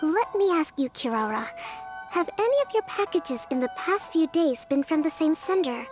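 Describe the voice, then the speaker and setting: Very high, composed young priestess. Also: female voice, animated, over music. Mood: calm, probing. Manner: measured, analytical, gently authoritative. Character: composed, clever young shrine priestess and strategist. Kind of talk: anime game voice acting.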